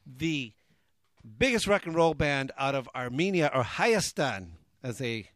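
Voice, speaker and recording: A man's voice: mid-range voice, animated, unhurried, a bit bright, no echo, clean studio sound. Man hosting a casual talk show. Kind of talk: casual radio-style interview.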